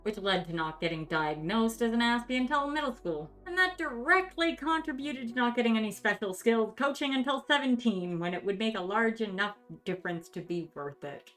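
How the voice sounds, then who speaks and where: Animated, sardonic woman with a higher voice. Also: brisk, few pauses, faint music behind. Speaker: video host. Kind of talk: dramatic reading with commentary.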